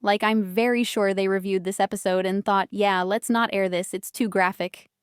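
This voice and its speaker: A woman's voice: mid-range voice, steady tone, normal speed, smooth, no echo, studio quality. A woman giving casual reaction commentary as a video host.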